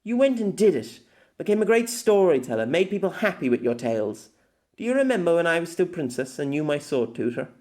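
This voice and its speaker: A man narrates as a long-lived, world-weary empress in an audiobook. The delivery is formal and measured, with dramatic pauses and a tender, wistful temper. The pitch sits slightly high. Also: very animated, a little mellow. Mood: fond, regretful. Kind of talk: scripted audiobook narration of a letter.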